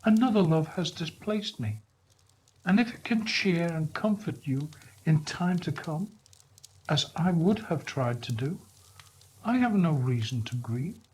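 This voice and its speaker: Storybook sweetheart, young woman acted by a male reader: soft, sad, gentle, higher pitch, unhurried. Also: animated, husky, low-quality recording. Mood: quiet sorrow. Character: gentle, sorrowful young woman releasing her lover.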